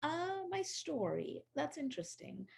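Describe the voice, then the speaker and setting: A woman's voice: sits high, big pitch swings, unhurried, gravelly, darkish tone. A woman in her forties from Sri Lanka, chatting as an interview guest with lots of fillers and restarts. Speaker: guest, AI education founder. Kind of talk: casual interview over video call.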